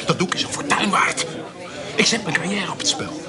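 An actor playing a vengeful, bullying privy councillor in a radio play, speaking with menacing emphasis. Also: male voice, sits high, normal speed, a crowd in the background, natural voice. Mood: threatening. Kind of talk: Dutch radio play acting.

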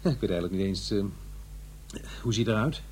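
A man's voice: fairly low pitch, expressive, a little fast, a little mellow, natural voice. An actor playing a regretful, lonely middle-aged divorced father in a radio play, speaking hesitantly and searching for words. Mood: awkward, tentative. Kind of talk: Dutch radio drama acting. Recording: noisy, humming radio play recording.

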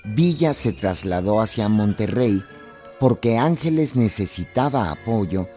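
Low, dark-voiced unhurried male narrator. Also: expressive. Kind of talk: scripted historical radio narration. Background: string music.

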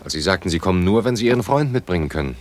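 A courteous bachelor of thirty-two in a radio play. His voice is low, and he talks in a hesitant, conversational way with dry, gentle irony, eager to be a good host. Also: expressive, rapid-fire, a little hiss or hum. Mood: awkward, probing. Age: 30s. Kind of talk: naturalistic German radio play dialogue.

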